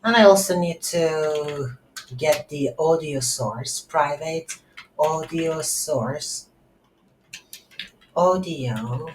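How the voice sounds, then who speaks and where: A woman giving an unscripted software tutorial as an instructor, halting and thinking aloud while she types. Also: deep voice. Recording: roomy echo, faint background noise.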